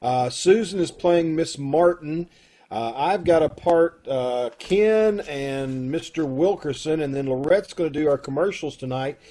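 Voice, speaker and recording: Casual host, male, a bit high-pitched, easygoing talker on a home video call. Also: a little slow, dark tone. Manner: chatty, friendly, loose with fillers. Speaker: host of a community radio troupe. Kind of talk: casual chat.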